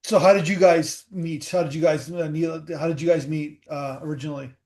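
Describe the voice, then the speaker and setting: A man hosting a casual podcast interview, his voice a little higher than most men's. He rambles through his questions, restarting and doubling back on words as he goes. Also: steady tone, a little fast, a little mellow. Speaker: podcast host.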